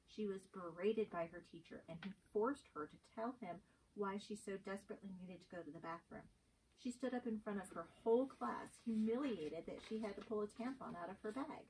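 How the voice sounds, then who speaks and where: Indignant, caring female teacher, read by a woman for amateur stage script, mid pitch, off-mic with faint noise. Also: brisk, a little mellow, far from the mic, natural voice, rough recording. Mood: concerned, upset. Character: indignant, caring female schoolteacher Miss Reel. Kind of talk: amateur dramatic script reading.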